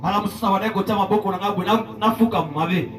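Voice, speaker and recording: A man interpreting a sermon, quickly repeating each line after the preacher. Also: sits high, steady tone. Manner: quick echoing delivery that follows the preacher. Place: church hall. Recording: echoey church hall, far from mic, music behind.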